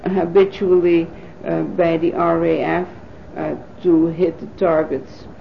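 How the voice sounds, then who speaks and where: A woman in her fifties from the Netherlands, a native Dutch speaker, recalling her life in an oral history interview with thoughtful pauses and fillers. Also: lowish voice, level tone, vocal fry, a little hiss or hum. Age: 50s. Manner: thoughtful, pausing with frequent fillers. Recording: dull lo-fi 1980s tape recording.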